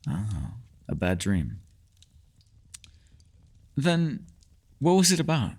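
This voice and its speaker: A man's voice: mid-range voice, wildly swooping pitch, slow, gravelly, breathy edge, full character voice. A man playing a mysterious, ageless male elf in a fantasy ASMR roleplay, teasing yet secretive, with courteous, formal, old-fashioned phrasing. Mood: thoughtful, reassuring.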